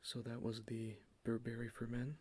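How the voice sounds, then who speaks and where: A man in an ASMR roleplay, giving a soft-spoken, enthusiastic sales pitch as a cologne salesman close to the microphone. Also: monotone, normal speed, husky.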